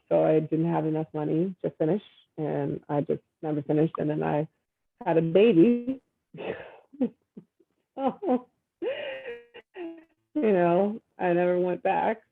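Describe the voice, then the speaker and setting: Woman on a video call, gravelly and earnest, thinking aloud with fillers, thin phone-line sound. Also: low voice. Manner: earnest, reflective, many fillers. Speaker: dating guest. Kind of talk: casual video-call conversation.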